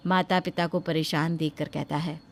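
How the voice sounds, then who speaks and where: A woman's voice: sits low, fairly even intonation, moderate pace. A woman narrating a scripted moral story between lines of dialogue.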